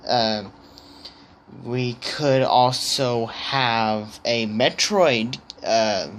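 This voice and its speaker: A man thinking aloud in a casual opinion vlog, hesitant and halting, often stammering on the starts of words. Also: mid-range voice, fairly bright tone, a little hiss or hum. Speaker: vlogger.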